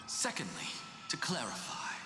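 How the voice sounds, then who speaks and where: Imperious fantasy-game sage and professor: dismissive, drawn-out, highly inflected, reverberant. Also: male voice, huge pitch swings. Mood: stern, lecturing. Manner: pompous, drawn-out, condescending delivery. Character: haughty, sharp-tongued male professor and sage, theatrically dismissive. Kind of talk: scripted fantasy game voice acting. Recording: echoey hall reverb, music behind.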